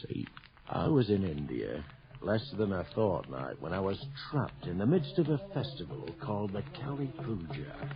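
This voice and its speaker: An actor playing an excitable, haunted middle-aged army sergeant major, theatrical and hesitant, in a radio drama. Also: male voice, deep voice, normal speed. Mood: haunted, intense. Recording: dull 1980 radio recording with music.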